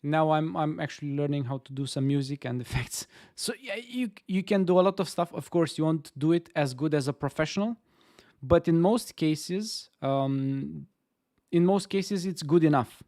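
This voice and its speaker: A man's voice: a little high, normal speed, darkish tone, no room sound, studio quality. A man from Romania with a Romanian accent, co-hosting a casual game-development stream, talking with frequent fillers and restarts. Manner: hesitant, many fillers and restarts. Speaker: stream co-host. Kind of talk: casual chat. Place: Stockholm.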